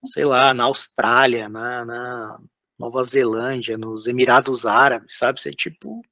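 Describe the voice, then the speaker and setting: A man from São Paulo, a concept artist, chatting casually in an interview while drawing, with loose, halting speech full of fillers. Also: medium pitch, fairly even intonation, pushed and bright, no echo. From São Paulo, Brazil. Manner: loose, hesitant, lots of filler words and restarts.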